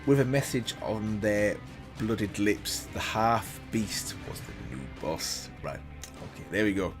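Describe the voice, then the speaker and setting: A man's voice: on the low side, lively intonation, slow pace. A man reading a text aloud as a reaction video host, breaking off for casual comments of his own. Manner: casual asides while reading. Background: loud background music.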